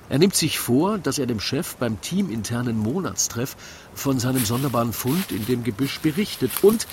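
Male radio-play narrator, Austrian. Slow, deliberate, theatrical verse with a dry wink, over clearly audible ambience. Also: medium pitch, a little hiss or hum. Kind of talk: scripted rhyming verse narration, storytelling.

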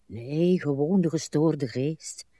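An actress playing a weary, wistful old woman in a literary radio drama, speaking haltingly and pensively, with sighs. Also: deep voice, dark tone, no echo. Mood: brooding. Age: older. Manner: slow, halting, pensive delivery with drawn-out sighs. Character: weary, wistful, brooding old Irish countrywoman, a wife.

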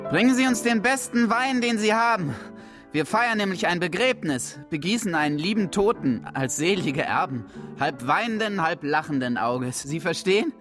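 A man's voice: sits high, animated, moderate pace, over music. Bitter, sardonic man. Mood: bitterly festive. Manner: sardonic, mock-festive speechifying. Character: bitter man full of gallows humour. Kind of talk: German radio drama acting.

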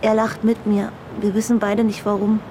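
A woman's voice: mid-range voice, flat delivery, moderate pace, warm tone, slight background noise, natural voice. An actress in a radio drama playing an insecure, lonely woman who confides her thoughts. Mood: confiding, pensive. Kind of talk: radio drama, intimate inner monologue and dialogue.

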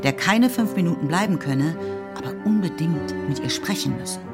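A very low-pitched woman narrates a literary radio drama from a script. Loud instrumental music plays behind her voice. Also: animated, normal speed.

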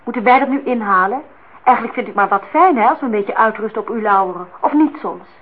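A woman's voice: a little high, animated, fast talker. An actress playing a cheeky, probing young woman in a radio play, mocking her elders with pointed, formal questions. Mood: challenging. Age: young adult. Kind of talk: Dutch radio play acting. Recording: tinny, noisy old radio recording.

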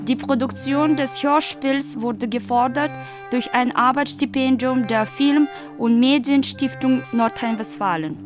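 A woman announcer reading out the credits of a radio production in a slow, measured way. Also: sits high. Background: music.

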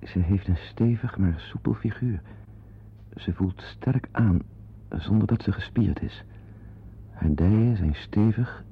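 A low-pitched needy, flustered man in a radio drama, speaking. He is hesitant and confiding, on a dull old recording with rumbling noise. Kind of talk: radio drama acting with asides to the listener.

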